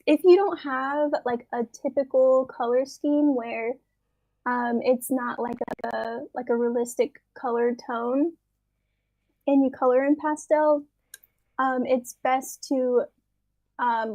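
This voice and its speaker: A woman with a high voice talks slowly through a casual presentation, pausing often on ums. The sound is dry and close, as on a video call. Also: darkish tone, dry recording, rough recording. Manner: hesitant, many ums. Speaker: workshop presenter. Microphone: video call with dropouts.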